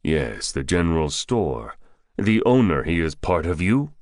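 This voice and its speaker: A cold armed man from an audiobook thriller, voiced by a male narrator, speaks very low and close in a dry space. His manner is curt and deliberate, full of threat. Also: sing-song, a little mellow, no echo. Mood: firm, controlled. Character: cold, menacing armed man posing as drug buyer. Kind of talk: audiobook character dialogue.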